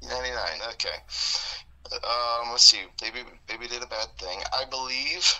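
A man co-hosting a casual music podcast, his voice a bit froggy and going hoarse, talking in a relaxed, rambling way with fillers and chuckles. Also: medium pitch, moderate pace, rough recording. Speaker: podcast co-host. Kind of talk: casual chat.

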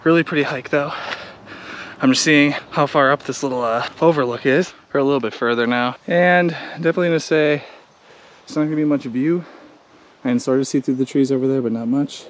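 A man's voice: medium pitch, a little fast. A man in his thirties narrating a casual travel vlog, upbeat and chatty, filming himself at arm's length outdoors. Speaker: travel vlogger. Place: outdoors on a hiking trail and at breweries. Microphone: handheld camera at arm's length. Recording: noisy outdoor ambience.

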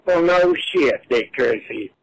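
A man's voice: mid-range voice, expressive, slow, background noise. A foul-mouthed, confrontational man talking crudely over the telephone. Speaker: prank caller played from a soundboard. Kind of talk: crude phone chat.

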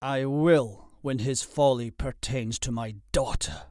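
A man's voice: mid-range voice, slow pace, darkish tone, dry recording, clean studio sound, natural voice. A man voicing a defiant, fiercely protective noble father with an emphatic, impassioned delivery in an audiobook. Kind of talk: audiobook character acting.

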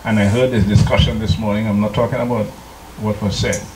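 An older man from Trinidad, a pastor preaching a slow, deliberate sermon into a handheld microphone in a church, now and then singing hymn lines. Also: fairly low pitch, little pitch movement, darkish tone, slight background noise. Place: church sanctuary. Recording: echoey church room.

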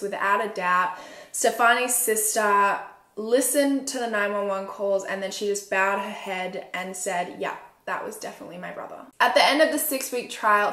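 A woman's voice: medium pitch, a bit bright. Young Australian woman in a roomy space. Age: 20s. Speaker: true crime video host. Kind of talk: conversational true crime storytelling. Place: small room at home, indoors. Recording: roomy indoor echo.

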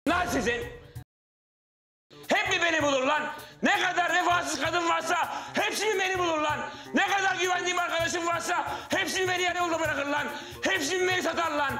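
A man's voice: medium pitch, animated, a little fast, faint music behind, natural voice. An actor in his thirties playing a hapless struggling auditionee in a sitcom, eager, cheeky and rambling with awkward politeness. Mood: anguished ranting. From Tokat, Turkey. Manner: hesitant, over-polite, rambling. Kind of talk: naturalistic sitcom acting.

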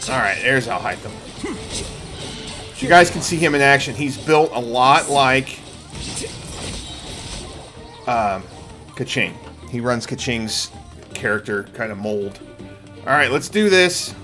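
A middle-aged man giving casual gameplay commentary, thinking aloud in a gravelly voice. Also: mid-range voice, animated, takes it slowly, pauses often, sharp tone. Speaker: game streamer. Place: small indoor room at home. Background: faint game music.

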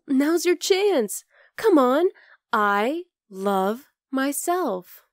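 A woman's voice: fairly high pitch, a little mellow, dry recording, clean studio sound. A woman playing a young wolf-girl girlfriend in an intimate ASMR roleplay, affectionate, teasing and coaxing. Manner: cooing, playful, coaxing.

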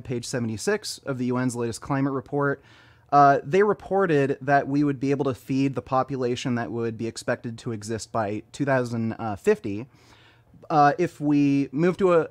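A man in his early thirties is debating over a webcam video call, with a pitch right in the middle for a man. He argues hard and turns sarcastic, pushing back at every point he hears. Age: 30s. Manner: argumentative, sarcastic. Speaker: online debater. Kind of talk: heated online debate.

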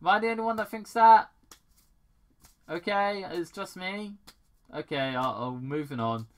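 A person reading fan fiction aloud casually, halting and repeating words, with off-script commentary. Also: medium pitch.

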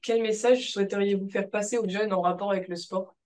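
A student reading a prepared question to an interview guest. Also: female voice, mid-range voice, fairly even intonation, brisk, darkish tone, distant mic.

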